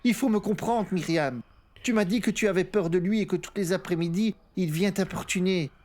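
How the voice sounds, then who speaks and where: A man's voice: high voice, fairly quick, darkish tone, pitched above the performer's own voice, natural voice. A man voicing an exasperated, defensive husband who pleads and justifies himself in an audiobook story. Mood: pleading, apologetic. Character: exasperated husband at breaking point, defensive and apologetic.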